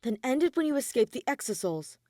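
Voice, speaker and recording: A woman's voice: on the high side, big pitch swings, fairly quick, dark tone, no room sound, clean studio sound, pitched above the performer's own voice. A woman voicing a weary, withdrawn young man in denial, hesitant and subdued, in a fan fiction audio reading. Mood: resigned.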